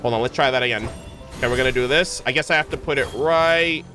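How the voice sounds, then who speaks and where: A man's voice: mid-range voice, fast, pushed and bright. A man doing casual, rambling livestream game commentary, informal and stumbling a little when he reads aloud. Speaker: game streamer. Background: video game music.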